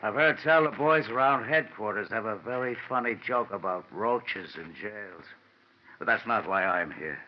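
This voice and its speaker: Police lieutenant male actor, lowish voice, gruff sarcasm, skeptical interrogator, old radio drama with muffled sound. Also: moderate pace, a little hiss or hum, natural voice. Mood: dry. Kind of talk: 1950s radio detective drama acting.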